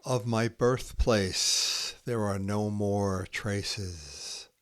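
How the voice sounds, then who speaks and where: Very slow, low-voiced man reciting haiku. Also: fairly bright tone, no echo, clean studio sound. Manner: measured, deliberate, short phrases. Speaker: podcast host reading poetry.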